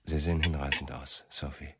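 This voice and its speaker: A man's voice: very deep voice, little pitch movement, a little fast, heavy background noise. An actor playing a brooding, self-doubting male writer and storyteller in a German radio play. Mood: wry, reflective.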